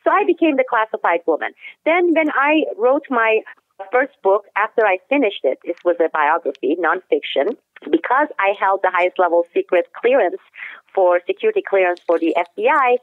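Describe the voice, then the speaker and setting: A woman's voice: medium pitch, normal speed, dry recording. Woman on a tinny phone line. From Turkey. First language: Turkish. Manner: steady, detailed explanation with occasional restarts. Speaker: guest, author. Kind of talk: interview answers.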